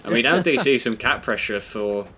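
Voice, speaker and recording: A man's voice: medium pitch, lively intonation, moderate pace, bright, forceful tone, slight background noise. A man commentating a competitive game in a chatty, sweary way with dry jokes. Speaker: esports commentator. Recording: game gunfire and clicks behind. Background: gunfire and clicks from the game.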